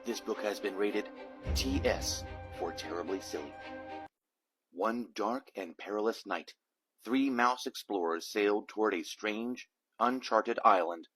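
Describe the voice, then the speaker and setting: A man reading a children's picture book aloud in an animated, theatrical way, with dramatic emphasis. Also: on the low side, a little slow, faint music behind, low-quality recording. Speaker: storybook reader, a dad reading aloud.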